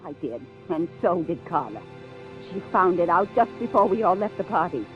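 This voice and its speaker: Sharp-tongued radio-drama accuser. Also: female voice, fairly low pitch, big pitch swings, moderate pace, muffled recording. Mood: bitter. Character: sharp-tongued, accusing jealous female murder suspect. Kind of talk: 1950s radio crime drama acting. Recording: band-limited old radio recording, faint music.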